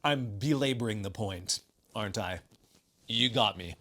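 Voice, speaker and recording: A man's voice: fairly low pitch, measured pace, music in the background. A man delivering scripted video game commentary in a sardonic, wry way with exaggerated emphasis.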